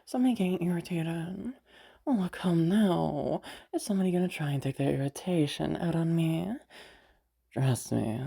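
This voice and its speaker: Girl doing a cocky teasing young woman for a roleplay audio, gravelly and dark, rambly, sarcastic but looks out for you. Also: lowish voice, full character voice. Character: teasing, self-assured popular young woman, sardonic yet protective. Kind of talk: roleplay audio drama addressed to the listener.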